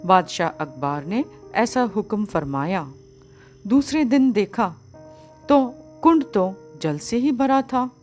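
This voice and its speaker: A woman reading a spiritual discourse as scripted narration, in a measured, formal, didactic manner. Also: mid-range voice, expressive, darkish tone, faint music behind. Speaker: audiobook reader of a spiritual book.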